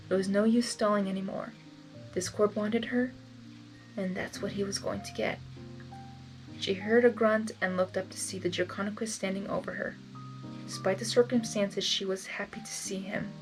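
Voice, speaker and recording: Woman, mid-pitched voice, expressive storyteller, casual emotional reactions, fan fiction host, music in the background. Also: rough recording.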